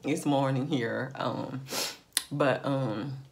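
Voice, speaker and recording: A woman with a slightly raspy morning voice, chatting casually through a makeup tutorial with plenty of fillers and asides to her viewers. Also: rumbling low, fairly even intonation, extremely slow, a little mellow. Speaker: beauty vlogger.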